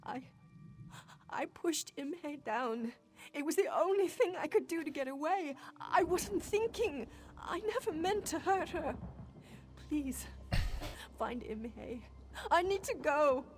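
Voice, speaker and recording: A woman's voice: sits high, lively intonation, takes it slowly, slight background noise. An actress playing a frightened, guilt-ridden woman in a video game, pleading and distraught. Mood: guilty, distraught.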